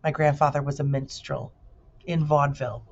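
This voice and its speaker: Woman teacher doing an expressive read-aloud of children's fiction, lowish register, lo-fi recording. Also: brisk, a little hiss or hum. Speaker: teacher reading aloud to pupils. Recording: dull lo-fi recording.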